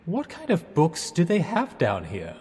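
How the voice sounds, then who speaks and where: An actor playing a hapless, apologetic young English student in a radio drama, hesitant, literal-minded and politely dithering. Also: male voice, fairly high pitch, wildly swooping pitch, unhurried, a little mellow. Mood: bemused. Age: young adult. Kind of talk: 1920s-set radio drama acting. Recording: long echoing reverb with music underneath.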